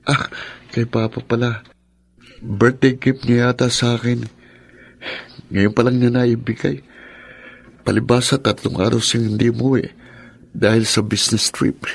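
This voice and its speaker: A man's voice: mid-range voice, steady tone, a little slow, creaky, long pauses, husky. A Filipino man acting an affectionate, earnest teenage younger brother in a radio drama. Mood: curious, excited.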